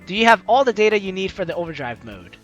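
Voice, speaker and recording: A woman's voice: mid-range voice, very animated, fast, highs cut off. A woman doing a playful, slangy let's play, reading dialogue aloud and commenting casually. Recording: faint music behind the voice.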